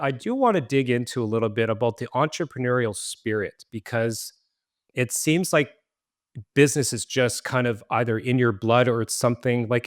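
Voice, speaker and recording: Guy hosting a podcast, laid back, asks lots of follow ups, average pitch. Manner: casual, probing questions. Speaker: podcast host. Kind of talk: podcast interview.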